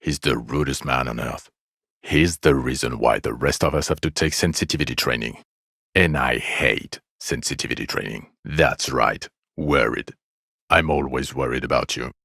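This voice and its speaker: A male actor playing a bitter, exasperated, foul-mouthed police detective in a video game, scolding with weary sarcasm and contempt in a gravelly voice. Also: extremely low pitch, moderate pace, bright, forceful tone, dry recording, studio quality, heavily acted. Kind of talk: video game character dialogue.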